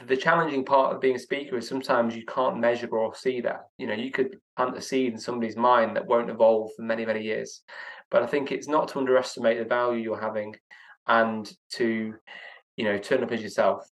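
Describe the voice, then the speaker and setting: A man's voice: mid-range voice, level tone, a little fast, low-quality recording. A young man being interviewed as a guest speaker, answering in a reflective, earnest way with some stammering restarts.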